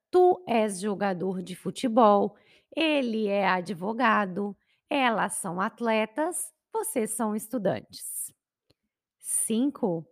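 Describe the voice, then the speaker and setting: A Brazilian woman with a Brazilian Portuguese accent teaching grammar, carefully enunciating each conjugation. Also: on the high side. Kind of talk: slow, clear grammar lesson.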